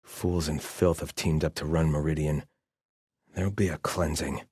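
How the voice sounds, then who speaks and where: An actor playing a haughty, devout soldier of a sun-worshipping empire in a video game, with a formal, disdainful delivery. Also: male voice, a bass voice, level tone, moderate pace, a little mellow, husky, no room sound, clean studio sound. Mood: stern, contemptuous. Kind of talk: scripted video game barks and lines.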